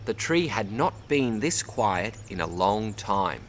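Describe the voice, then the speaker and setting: An Australian man reads a children's story aloud in an expressive way, addressing young listeners as a storyteller. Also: fairly low pitch, takes it slowly, slight background noise. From Bundaberg, Queensland, Australia. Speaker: storybook reader. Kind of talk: read-aloud children's story, narration with word teaching.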